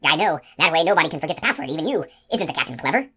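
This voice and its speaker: A woman voicing a simple-minded male outlaw sentry with a high, trembling, cheerfully obliging voice, for an audiobook. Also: sing-song, very fast, sharp tone, husky, heavily acted.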